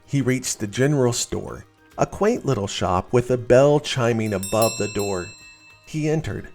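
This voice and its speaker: Animated male children's story narrator. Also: lowish voice.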